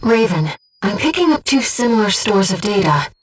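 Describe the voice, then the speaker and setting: A woman voicing a disembodied female guide who speaks in a pilot's head as mission operator in a video game, with a soft, breathy voice and a precise, earnest delivery. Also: medium pitch, sing-song, moderate pace, far from the mic, low-quality recording. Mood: composed, informative. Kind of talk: scripted video game voice lines, mission briefings and battle callouts.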